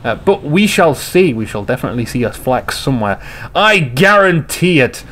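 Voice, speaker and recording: A man casually commentating on a game replay, slightly hoarse, rambling and joking with his viewers. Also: medium pitch, sing-song, moderate pace, a bit bright. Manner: rambling, jokey, chats to viewers.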